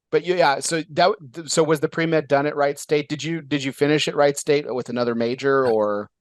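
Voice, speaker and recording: Male podcast host, excitable, rambling, mid-pitched voice, dry close sound. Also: no echo. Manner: excitable, rambling, many fillers and restarts. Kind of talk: casual interview chat.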